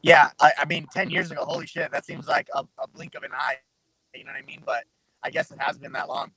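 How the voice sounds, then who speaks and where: A man from Southern Arizona talks in a loose, rambling way, scattering fillers as he goes. His voice sits a bit high for a man. He comes through a remote call mic that pops now and then. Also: steady tone, fast talker, bright, forceful tone, slightly rough, rough recording. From Southern Arizona, USA. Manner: laid-back, rambling, full of fillers. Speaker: guest, MMA fighter. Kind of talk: casual interview chat. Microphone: remote call mic with popping.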